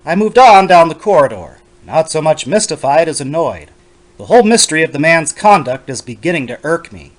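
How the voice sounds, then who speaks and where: Guy doing a fussy brooding bachelor professor telling a horror tale, voice a bit high, real swoopy and theatrical. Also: expressive, a little fast, lightly acted. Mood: intrigued, irked. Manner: formal, theatrical, precise. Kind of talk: dramatic reading of a 1930s horror story.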